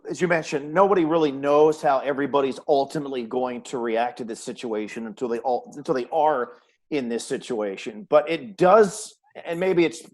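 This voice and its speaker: A man's voice: mid-range voice, normal speed, darkish tone. Man hosting a sports chat. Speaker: sports web show host, play-by-play announcer. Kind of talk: conversational sports interview.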